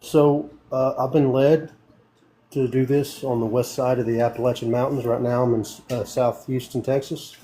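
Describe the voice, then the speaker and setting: A man's voice: medium pitch, flat delivery, normal speed, warm tone. A man from Northeast Tennessee with a country East Tennessee accent, talking earnestly and a bit rambling to a group on a casual call. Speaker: community project speaker. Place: at home in South Houston.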